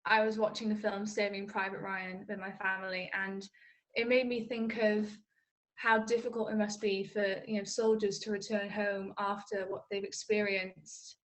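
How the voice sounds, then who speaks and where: A woman's voice: mid-range voice, little pitch movement, moderate pace. A teenage girl giving articulate interview answers as a young writer.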